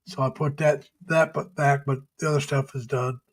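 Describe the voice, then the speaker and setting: A middle-aged man hosting a casual live stream, chatting with viewers in a folksy, rambling way, laughing often and pausing on many uhs. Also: mid-range voice, level tone. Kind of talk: casual chat reading viewer comments. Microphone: home webcam or computer mic.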